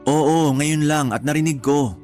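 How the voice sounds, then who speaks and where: A man's voice: a little high, steady tone, unhurried, a little mellow, natural voice. Man acting an earnest, exasperated live-in boyfriend. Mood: puzzled. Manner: earnest, reasoning, reproachful. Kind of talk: Tagalog radio drama acting. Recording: music bed behind the voice.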